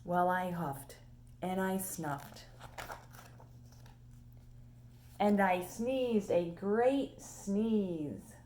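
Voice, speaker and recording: A woman reading a children's storybook as an indignant male wolf telling his side in a conversational way with dramatic pauses. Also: lowish voice, warm tone, slight background noise. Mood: mock-innocent storytelling. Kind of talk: animated children's storybook read-aloud.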